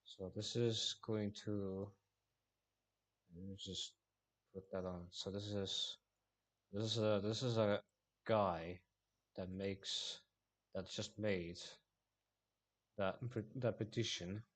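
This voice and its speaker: A man from Finland livestreaming casually, rambling with halting restarts. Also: on the low side, steady tone.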